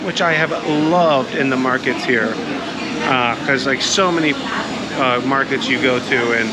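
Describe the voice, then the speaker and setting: A man talks casually to his vlog audience in a rambling, slightly hesitant way, his voice a bit low in pitch for everyday speech or close to average. Loud urban market and street noise surrounds him throughout. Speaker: travel vlogger. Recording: very noisy outdoor urban street ambience. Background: busy market and street noise.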